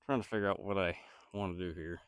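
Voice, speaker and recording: A man's voice: deep voice, steady tone, fast talker, smooth, no room sound, clean studio sound. Stuffy-nosed man muttering while building. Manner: rambling, hesitant, thinks aloud while working. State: nasal from a cold. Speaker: DIY builder vlogger. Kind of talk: casual self-talk while building.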